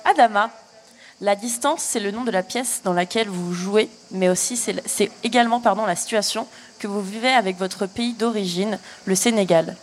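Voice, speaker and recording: A woman's voice: mid-range voice, moderate pace, slight background noise. A woman interviewing guests, asking questions and responding encouragingly.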